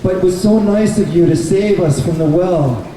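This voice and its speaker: A man's voice: high voice, normal speed, mellow, lightly acted. A man voicing a grateful, kindly monkey in a live puppet story, with polite, effusive thanks and reassurance. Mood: generous, friendly. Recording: echoey, distant live event recording.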